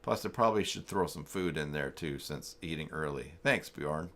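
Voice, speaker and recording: A man's voice: extremely low pitch, expressive, normal speed, a bit bright. A man hosting a casual livestream, thinking aloud in a rambling, muttering way. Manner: rambling, muttering through thoughts. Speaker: livestream host.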